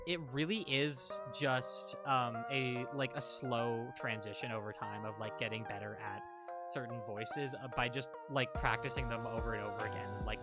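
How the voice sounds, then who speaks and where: A streamer and voice actor chatting casually and rambling with lots of fillers, a little congested. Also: medium pitch. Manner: rambling, filler-heavy, self-interrupting. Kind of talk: casual let's-read commentary.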